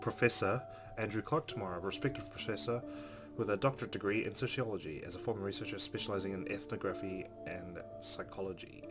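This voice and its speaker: Low-voiced man reading over loud game music. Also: fast talker. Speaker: let's player. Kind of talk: reading game narration aloud with casual commentary.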